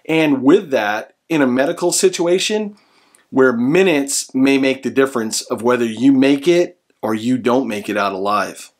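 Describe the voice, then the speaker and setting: An American man in his forties talks to camera as a product reviewer. His voice sits at a medium pitch. He explains things in an earnest, instructional manner, speaking from a room at home. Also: lively intonation, normal speed. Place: small room indoors at home.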